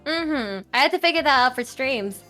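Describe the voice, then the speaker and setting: High, loud young woman, chatty. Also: animated, moderate pace, soft background music. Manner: chatty, many fillers and restarts. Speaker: guest. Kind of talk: casual interview chat. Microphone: home streaming mic with EQ and compressor.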